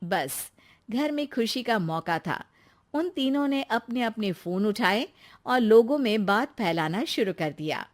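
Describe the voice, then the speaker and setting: A woman's voice: on the high side, lively intonation, unhurried. A woman narrating a cartoon story.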